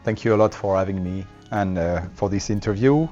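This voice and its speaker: A man with a French accent, a company representative explaining his products in a trade show interview, with many fillers and pauses. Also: lowish voice, mellow, lo-fi sound, faint music behind.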